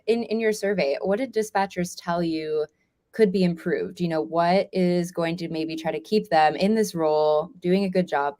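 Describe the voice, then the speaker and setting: A woman's voice: on the low side, darkish tone. A woman with an American accent hosting a conversational interview as a reporter, in an informal, affirming manner.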